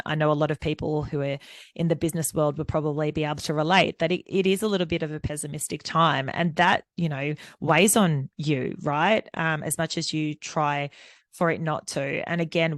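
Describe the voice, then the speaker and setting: A woman's voice: sits low, dry recording, studio quality. Candid woman podcast host. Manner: candid and rambling, with many fillers and restarts. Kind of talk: unscripted solo podcast monologue.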